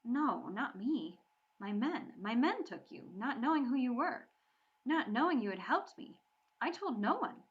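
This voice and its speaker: A woman's voice: a little high, sing-song, unhurried, dull audio, voice pushed up. A woman voicing a proud teenage Viking boy who talks in a haughty, commanding way. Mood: defensive.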